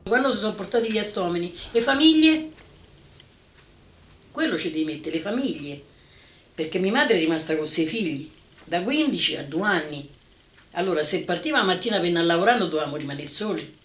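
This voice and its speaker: Old roman lady telling her memories, talks really fast, echoey room recording. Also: on the low side, animated. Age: 70s or older. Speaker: eyewitness recalling wartime. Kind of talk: spontaneous oral testimony. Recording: roomy, echoing interview recording.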